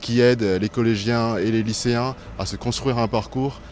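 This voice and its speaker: A man's voice: medium pitch, steady tone, moderate pace, a little mellow. A man in his twenties, a student answering interview questions, hesitant with many fillers. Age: 20s.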